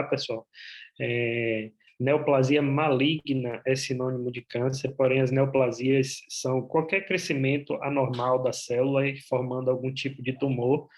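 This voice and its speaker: A man from Bahia, a gynecologist with a Bahian accent, giving an explanatory talk over a video call, often pausing on "é". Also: mid-range voice, a little mellow. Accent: Bahian Portuguese. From Bahia, Brazil. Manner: explanatory, with frequent "é" fillers. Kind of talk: online medical presentation.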